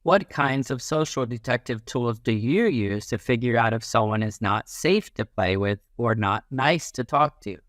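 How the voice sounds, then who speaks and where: Male teacher-narrator for kids' educational picture book: lively melody, instructive delivery, close dry sound. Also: mid-range voice, normal speed, hardly any pauses, no echo. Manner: animated, instructive, acts out little sounds and quotes. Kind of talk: expressive children's read-aloud.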